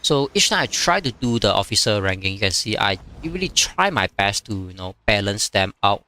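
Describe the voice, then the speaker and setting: A man whose first language is Chinese, streaming a strategy game and chatting casually, with many fillers and pauses as he reads viewer comments. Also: on the low side, very animated, bright, forceful tone. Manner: halting, many fillers, reads chat aloud.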